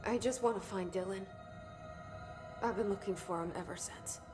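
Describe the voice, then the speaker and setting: A woman's voice: medium pitch, normal speed, over music, close to an everyday voice. An actress playing an earnest, determined young woman in a video game, sincere and understated in delivery. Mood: haunted, earnest. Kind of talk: video game cutscene acting.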